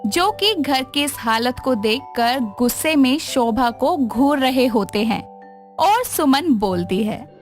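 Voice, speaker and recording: A woman narrates a scripted tale. Her voice is very high and her pace slow, with light music playing underneath. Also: lively intonation.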